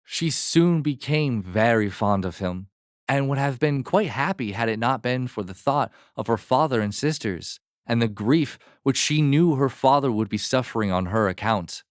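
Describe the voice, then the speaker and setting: A man narrating a fairy tale as a storyteller, in a measured, expressive manner. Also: medium pitch, no room sound, studio quality.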